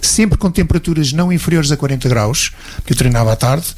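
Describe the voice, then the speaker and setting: Fast-talking Portuguese man, slightly high-pitched. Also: a little mellow. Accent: European Portuguese. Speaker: interview guest, martial arts instructor. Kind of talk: conversational travel storytelling.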